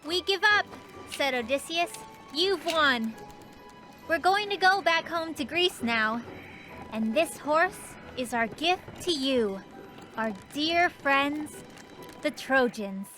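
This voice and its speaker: A woman voicing a patient, knowing young girl who tells stories in an explanatory way in an animated children's series. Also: high voice, takes it slowly, full character voice. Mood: dramatic storytelling. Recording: music behind the voice.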